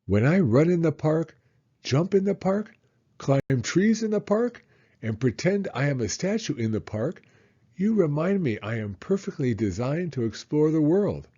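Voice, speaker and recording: A cheerful, wondering young boy from a storybook, voiced by a man. The delivery is eager and very animated, with a soft-edged, husky tone and dull, muffled sound. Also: a little high, unhurried, pitched above the performer's own voice, heavily acted. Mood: excited, eager. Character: cheerful young boy talking to his dad.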